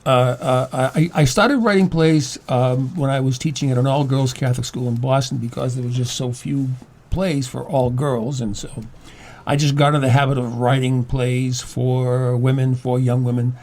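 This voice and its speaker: An older man talking as a studio guest in an interview, explaining things haltingly with many stammered, repeated words. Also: medium pitch, fairly even intonation, a little mellow. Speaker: studio guest, playwright and former teacher. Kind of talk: conversational interview.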